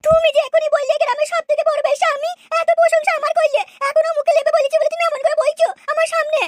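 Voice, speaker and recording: A woman's voice: very high-pitched, level tone, fast talker. A woman voicing a brash, vain and quarrelsome village woman in a cartoon, insistent and boastful. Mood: boastful, indignant. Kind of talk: cartoon dialogue. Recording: noisy track with clicks.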